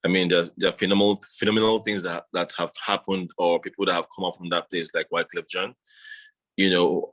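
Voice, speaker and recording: A man being interviewed, talking in a measured, reflective way with many "you know"s. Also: deep voice, fairly bright tone. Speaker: guest. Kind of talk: casual interview.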